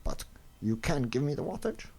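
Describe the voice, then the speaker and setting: A man playing a bold, courteous gentleman adventurer, urbane and determined, in a comic-book drama. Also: medium pitch, very animated, moderate pace. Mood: confident urgency. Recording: clearly noisy recording.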